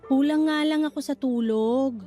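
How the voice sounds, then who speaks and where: A woman acts as a young mother in a radio drama with a strikingly high voice. She is weary and curt, exasperated by her partner. Faint music runs underneath. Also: normal speed, mellow, natural voice. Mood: tired. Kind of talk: Tagalog radio drama acting. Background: music bed.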